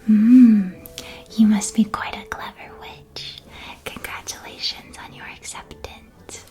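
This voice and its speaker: A woman playing a cheerful, welcoming witch who keeps a magical sweet shop, in a hushed, gently enthusiastic ASMR roleplay. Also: mid-range voice, fairly even intonation, takes it slowly, natural voice. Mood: warm, welcoming. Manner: soft-spoken, hushed, gently enthusiastic sales patter.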